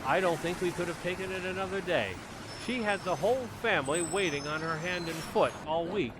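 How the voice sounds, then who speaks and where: A man's voice: high-pitched, a little fast, noisy background, lightly acted. A man playing an eager, self-reproaching family man in a vintage-style radio comedy, with an earnest, theatrical and easily flustered delivery. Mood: eager, obliging. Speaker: community theater actor.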